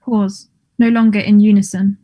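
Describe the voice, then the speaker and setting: A woman's voice: mid-range voice, level tone, moderate pace, muffled recording. A woman reading stage directions as scripted narration, in a plain, neutral manner.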